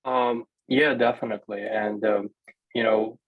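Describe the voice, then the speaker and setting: A man's voice: mid-range voice, steady tone, unhurried, no echo. A man in his twenties from Ukraine, a native Russian speaker, answering on a video-call panel in a hesitant way, with many fillers and restarts. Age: 20s. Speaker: panel guest. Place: small room indoors. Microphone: laptop webcam video call. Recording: dull lo-fi video call audio.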